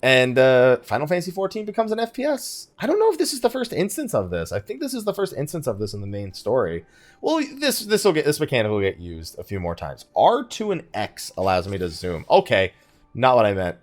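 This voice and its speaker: A man's voice: medium pitch, huge pitch swings, a little fast. A man giving casual let's play commentary, thinking aloud in a wry, conversational way. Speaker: gaming YouTuber, host of the let's play.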